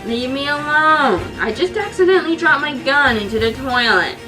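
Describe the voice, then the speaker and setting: A woman's voice: high voice, expressive, moderate pace, distant mic, over music, voice pushed up, low-quality recording. A woman voicing a blunt, cold female spy who turns to growling rage. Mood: curt. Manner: curt, cold, growling when angry.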